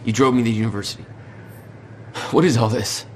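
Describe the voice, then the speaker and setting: A man's voice: medium pitch, quick pace, a little mellow, close to an everyday voice. A man playing a blunt, bitter and determined hero in a video game, speaking tersely in a naturalistic style. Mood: focused. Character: determined, bitter hero out to confront his former friend. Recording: clearly noisy, vehicle and ambient sound.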